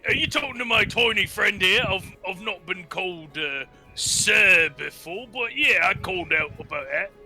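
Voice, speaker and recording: High-pitched, brash man with music behind. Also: animated, normal speed, few pauses, sharp tone. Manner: brash, jokey. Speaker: player in a tabletop fantasy roleplaying game. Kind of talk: casual roleplay banter.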